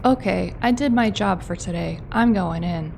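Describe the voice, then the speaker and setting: A woman's voice: on the low side, normal speed, vocal fry, darkish tone, slight background noise. A woman playing a skeptical, sarcastic female commando with a dry way of talking.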